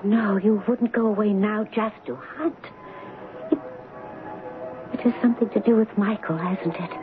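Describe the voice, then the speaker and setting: An actress playing a devoted young princess in love, soft and earnest in a romantic radio drama. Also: female voice, mid-range voice, steady tone, normal speed, close to an everyday voice. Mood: worried. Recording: dull 1970s radio broadcast, music behind.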